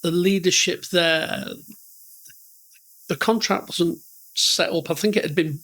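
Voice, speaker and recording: A man's voice: sits high, measured pace, fairly bright tone, low-quality recording. A middle-aged man with a northern English accent, telling rambling, self-deprecating stories as a podcast guest. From northern England. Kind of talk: conversational storytelling.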